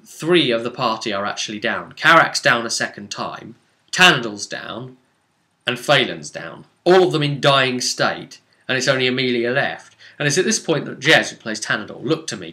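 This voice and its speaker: A man with a very bright, forceful voice at an average male pitch casually recaps a tabletop role-playing game. He tells it as an animated, rambling storyteller, leaning hard on key words and letting others trail away. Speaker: tabletop game master recounting a session.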